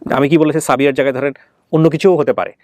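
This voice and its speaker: A man's voice: on the high side, steady tone, rapid-fire, darkish tone, no room sound, clean studio sound. A man with a Bangladeshi accent hosting an interview, insistently repeating his questions and explaining things at length. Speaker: show host and interviewer. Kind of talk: probing conversational interview.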